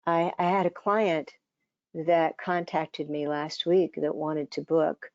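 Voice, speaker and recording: An older woman, around seventy, giving a conversational conference presentation as a dietitian, her voice scratchy and hoarse, with many fillers and restarts. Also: low-pitched, fairly even intonation, takes it slowly, darkish tone, no room sound, muffled recording.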